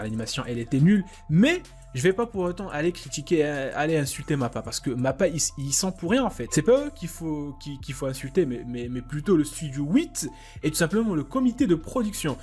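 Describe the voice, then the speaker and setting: A young man hosting an anime reaction video, rambling casually with repeated fillers, casual swearing and excited exclamations. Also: fairly high pitch, fairly quick, breathy edge, faint music behind. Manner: excitable, laughs and exclaims while watching. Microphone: desk microphone.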